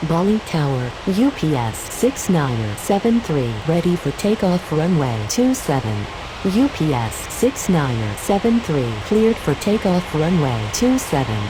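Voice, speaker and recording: A woman's voice: deep voice, very animated, a little slow. A woman giving scripted pilot and air traffic control radio calls in a clipped, procedural manner. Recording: heavy noise over the voice.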